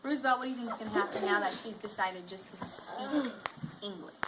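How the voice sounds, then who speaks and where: A woman's voice: mid-range voice, a little fast. A woman teaching a class, reading aloud expressively and putting questions to her pupils. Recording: faint background noise in a classroom.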